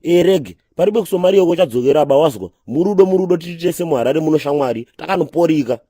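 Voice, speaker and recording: A man voicing a streetwise, cheerfully crude Zimbabwean township hustler in a comedy cartoon, husky and thick with street slang. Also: a little high, lively intonation, very fast, dark tone, no echo. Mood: slangy ranting.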